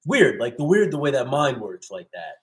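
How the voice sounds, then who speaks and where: A man's voice: mid-range voice, sing-song, moderate pace. Laid-back man hosting a livestream. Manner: laid-back, storytelling, street-style slang. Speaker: host of a self-defense channel. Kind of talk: casual livestream chat.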